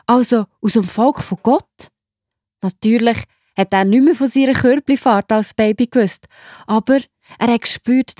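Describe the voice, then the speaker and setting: Mellow Swiss woman telling children's stories. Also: mid-range voice, no room sound, studio quality. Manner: chatty, addresses listeners directly. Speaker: narrator. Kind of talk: children's story narration.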